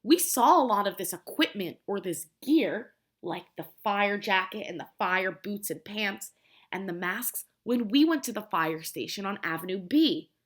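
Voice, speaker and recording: A woman teacher reading a picture book aloud to young children, explaining simply and prompting them with questions in an expressive way. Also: mid-range voice, a little slow. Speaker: preschool teacher.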